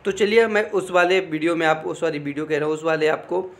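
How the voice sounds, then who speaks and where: A man's voice: on the high side, fast. A man giving an informal explainer, reading out official text and commenting, often repeating phrases and adding check-in tags. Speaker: exam information presenter.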